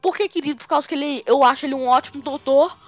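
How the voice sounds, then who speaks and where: Giggly high-voiced woman with a Mineiro accent. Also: quick pace. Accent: Mineiro Brazilian Portuguese. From Belo Horizonte, Brazil. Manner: chatty, giggly, rambling with fillers. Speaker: podcast co-host. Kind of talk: casual fan chat. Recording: muffled lo-fi audio with faint music.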